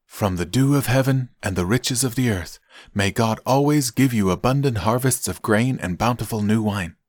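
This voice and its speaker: An aged, frail father from a scripture tale, acted by a man reading aloud. He speaks with solemn weight, declaiming deliberately in a raised voice. The sound is close and dry. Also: medium pitch, a little mellow, no room sound, voice pushed up, lightly acted. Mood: solemn blessing. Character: old frail solemn father, a biblical patriarch blessing sons.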